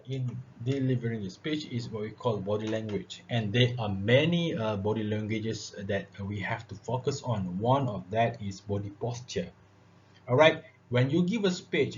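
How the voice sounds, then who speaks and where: A man giving a classroom lecture on public speaking, breaking his sentences with "uh", "okay" and "all right". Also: on the low side. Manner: filler-heavy, repeats "all right" and "okay". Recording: dull lo-fi recording with faint noise.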